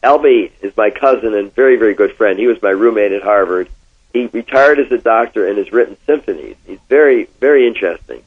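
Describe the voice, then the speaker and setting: Older American male interviewee, husky voice, medium pitch, reminiscing anecdotally over a thin phone-quality line. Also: a little fast. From Connecticut, United States. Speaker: interviewee, former oil executive. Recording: thin, phone-like band-limited sound.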